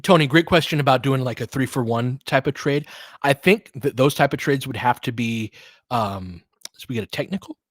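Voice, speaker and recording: A man with an average-pitched voice commentates live basketball in a loose, conversational way. He slips between short play calls and slangy analysis aimed at his viewers, thinking aloud as he goes. The sound is dry, with no room around it. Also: moderate pace, no room sound.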